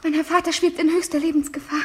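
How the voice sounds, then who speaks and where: An actress playing a spirited, anxious young daughter in a 1950s German radio play, melodramatic and urgent. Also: female voice, very high-pitched, level tone, fast. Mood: urgent recounting. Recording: faintly noisy 1950s radio recording.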